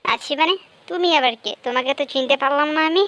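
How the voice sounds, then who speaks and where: Woman voicing a high-pitched whining cartoon husband fox. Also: fast, pushed and bright. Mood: baffled. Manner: whining, grumbling. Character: hot, broke, complaining husband fox. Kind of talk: cartoon character dialogue.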